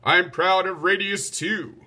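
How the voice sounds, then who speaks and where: A man's voice: sits high, steady tone, unhurried, sharp tone, husky, pitched above the performer's own voice, heavily acted. A man voicing a commanding, stately storybook king, formal and declamatory. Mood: proud announcing. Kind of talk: storybook read-aloud.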